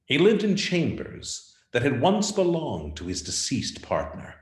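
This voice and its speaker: A man narrating a radio play as scripted dramatic storytelling, with theatrical, deliberate emphasis. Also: mid-range voice, huge pitch swings. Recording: roomy, echoing sound.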